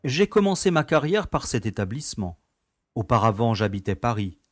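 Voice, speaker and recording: A man's voice: mid-range voice, very animated, normal speed, no echo, clean studio sound. A man playing an easygoing, genteel prison director in an audio drama, telling things in a wry, nostalgic way. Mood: genial. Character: urbane, easygoing prison director, former playboy.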